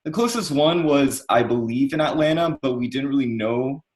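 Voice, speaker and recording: Man, twenties, relaxed, chatty, video call panelist. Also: medium pitch, normal speed. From United States. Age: 20s. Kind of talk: casual panel talk.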